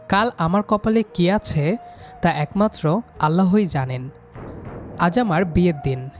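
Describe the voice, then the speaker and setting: A man's voice: high-pitched, darkish tone. A man narrating a scripted first-person story as the storyteller. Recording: music behind the voice.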